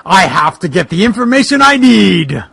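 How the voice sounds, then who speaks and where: A man voicing a determined bogeyman private detective in a comic fan fiction reading, with deliberate delivery. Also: sits high, very animated, vocal fry, a bit bright, slightly rough, no echo, exaggerated voice. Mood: grimly determined.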